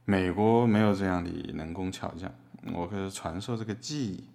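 Man as rambling drifter artist, lowish voice, deadpan, self-mocking, casual courtroom radio play. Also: moderate pace. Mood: casual, matter-of-fact. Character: rambling, wry male drifter artist as defendant. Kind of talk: casual scripted monologue in audio drama.

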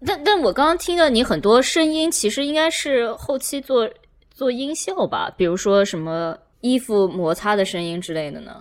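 A woman's voice: on the high side, lively intonation, moderate pace. A woman co-hosting a podcast in casual chat, asking questions. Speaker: podcast co-host.

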